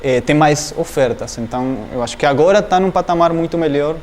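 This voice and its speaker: Man answering thoughtfully, higher-pitched. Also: a little fast, darkish tone, slight background noise. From Argentina, Entre Ríos province. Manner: thoughtful, many fillers and restarts, searches for words. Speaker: doctoral student, interviewee. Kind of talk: academic interview answers.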